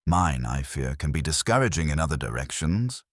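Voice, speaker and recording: A man plays a gently evasive village vicar in a mystery story. The voice is extremely deep yet moves about in pitch. He is wordy and mild, forever apologising for himself, and recorded dry and close. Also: very animated, moderate pace, no echo, heavily acted. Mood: rueful. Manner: wordy, mild, self-deprecating.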